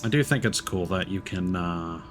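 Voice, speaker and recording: A man's voice: low voice, lively intonation, moderate pace, over music. A man doing casual live game-stream commentary, thinking aloud in a rambling way.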